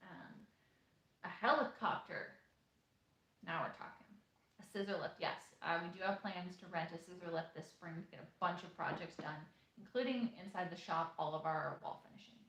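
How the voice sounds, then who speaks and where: A woman's voice: on the low side, normal speed, a bit bright. A woman in her late twenties, co-hosting a casual live Q&A, reading viewer questions aloud in a chatty, loose way. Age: 20s. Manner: chatty, reads questions aloud, many fillers. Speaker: co-host of a homesteading channel. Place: room in an off-grid shop. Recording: echoey room.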